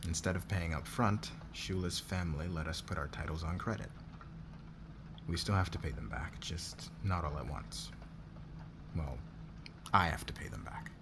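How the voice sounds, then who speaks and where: A man acting in an intimate ASMR roleplay as a demanding, guarded noble boss weighed down by debt, speaking haltingly with sighs. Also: deep voice, noisy background. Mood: weary, confiding.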